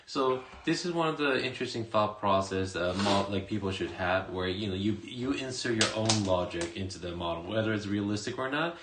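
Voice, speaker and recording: A man hosting a casual interview stream, chatty and prompting his guest with quick acknowledgements. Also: on the low side, animated, echoey room, very noisy. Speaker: stream host.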